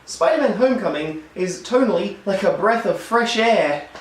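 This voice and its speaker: A hoarse man who is losing his voice, giving a wry, enthusiastic scripted film review. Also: sits high, expressive, normal speed, a little hiss or hum. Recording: roomy echo, distant mic.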